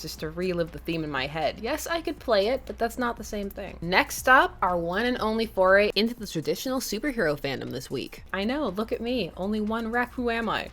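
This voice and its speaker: A woman hosting a chatty fan fiction recommendation show in a playful, emphatic way. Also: medium pitch. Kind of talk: semi-scripted presenting to camera, chatty.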